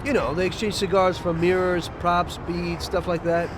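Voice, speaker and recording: An actor playing a cautious young mob driver who speaks in a wary, firm, down-to-earth way. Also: male voice, high-pitched, little pitch movement, moderate pace, close to an everyday voice. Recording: game audio with street noise.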